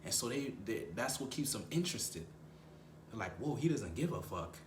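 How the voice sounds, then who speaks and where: A young man with a low voice, giving dating advice in a casual, slangy way and often repeating himself for emphasis. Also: big pitch swings, normal speed, echoey room, a little hiss or hum. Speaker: dating coach.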